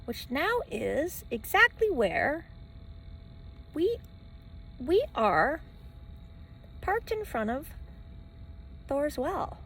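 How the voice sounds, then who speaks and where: High-voiced, creaky, animated woman vlogger. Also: very slow, pauses often, a little hiss or hum, poor audio. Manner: stop-and-start, rambling, repeats phrases for emphasis. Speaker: travel vlogger living in a van. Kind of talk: rambling personal vlog, talking to camera and to a dog. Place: inside a parked camper van by the ocean. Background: faint ocean wash.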